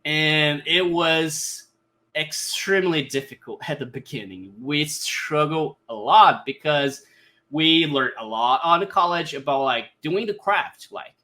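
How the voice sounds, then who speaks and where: A man in his thirties from Brazil, a native Portuguese speaker, telling his life story as a podcast guest in halting English full of fillers and restarts. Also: a little high, animated, sharp tone. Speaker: podcast guest, brand designer. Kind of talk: casual interview storytelling.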